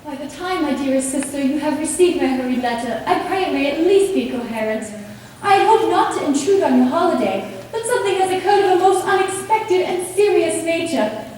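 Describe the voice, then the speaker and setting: A woman in an amateur stage play, acting a gentle, worried young sister who earnestly recites a letter. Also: sits high, lively intonation, brisk. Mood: anxious. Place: theatre stage, recorded from a distance. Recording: echoey hall, distant mic, faint noise.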